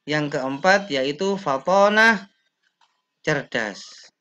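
A young man from Indonesia, a teacher, reads lesson slides aloud at a slow, measured pace, pausing and filling gaps with "eh". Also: fairly high pitch, animated, sharp tone. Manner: slow, measured reading with pauses and fillers. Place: indoor room.

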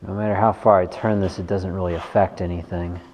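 A man's voice: sits low, little pitch movement, fairly quick, a little mellow. A man giving a casual hands-on tutorial, informally thinking aloud as he works in a garage. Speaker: bike mechanic host. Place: indoors in a large wooden workshop garage. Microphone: action camera worn on the body. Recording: echoey wooden garage with noticeable noise.

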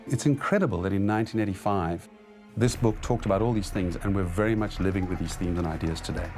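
A man's voice: deep voice, quick pace, over music. A middle-aged man, a film director, speaking in a documentary interview. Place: indoor interview setup.

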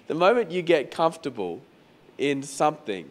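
A man preaching a sermon as pastor in a croaky, hoarse voice, talking conversationally and repeating phrases for emphasis. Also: on the high side, very animated, a little slow. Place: venue hall during a church service.

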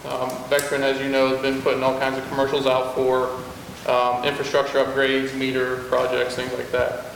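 A man gives a city service update in a flat, even voice, pausing often on fillers. He is picked up by a distant camera in a large wood-paneled hall with a long, ringing reverb. A faint noise runs underneath. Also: medium pitch, vocal fry. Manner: plain, halting, many fillers. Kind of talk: spoken report to a council. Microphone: far room camera. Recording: echoey hall from far off, noisy.

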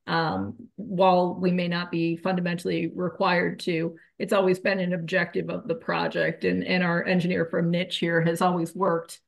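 A woman giving a polite, formal comment as a project representative on a video call, in measured wording. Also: fairly low pitch, little pitch movement, gravelly, muffled recording. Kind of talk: formal comment at a public meeting.

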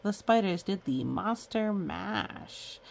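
A woman's voice: mid-range voice, expressive, slow pace, creaky, darkish tone. A woman reading a children's picture book aloud in a playful way, breaking off for chatty asides and sing-song counting.

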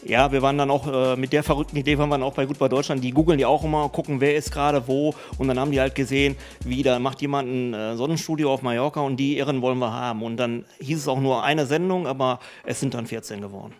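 A middle-aged man and spa owner answering interview questions in a brisk, colloquial way, speaking into a handheld mic. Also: medium pitch, fairly even intonation, faint music behind. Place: indoor spa studio. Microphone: handheld mic held by host.

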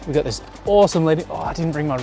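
A man's voice: fairly high pitch, very fast, vocal fry, faint music behind. Laid-back man directing a beach photo shoot. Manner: relaxed, directing a model while explaining. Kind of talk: casual behind-the-scenes commentary. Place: outdoors on a beach jetty.

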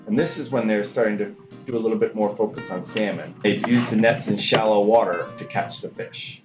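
Middle-aged man, low voice, museum curator, roomy muffled sound, guitar music. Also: fairly quick. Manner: lively, explanatory, with fillers. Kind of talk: explaining artifacts. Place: indoors, at a table in a museum workroom.

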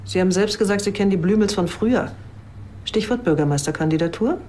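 An actress playing a strict, exasperated police chief with a commanding, brisk manner in a TV crime comedy. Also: female voice, medium pitch, lively intonation, dark tone, slight background noise, lightly acted. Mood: stern, exasperated.

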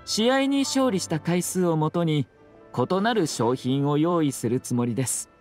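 Polished young game aristocrat, lightly high male voice, lively lilting intonation, formal, measured, slyly teasing. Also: faint music behind. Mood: composed explaining. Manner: polite, formal, measured, sly. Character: courteous, composed young nobleman, subtly teasing. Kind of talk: video game anime voice acting.

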